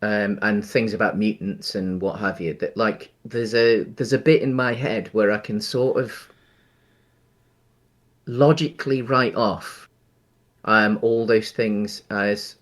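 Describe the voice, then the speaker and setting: A man's voice: fairly low pitch, steady tone, takes it slowly, a bit bright. A man co-hosting a casual film discussion, with a tentative, rambling way of talking. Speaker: podcast co-host.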